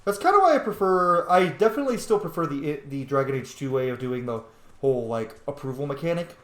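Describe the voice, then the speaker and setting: A man's voice: fairly high pitch, lively intonation, fairly quick, fairly bright tone. Man chatting over a game, roomy echo. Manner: rambling, informal, swears. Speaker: gaming video host. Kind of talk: casual let's play commentary.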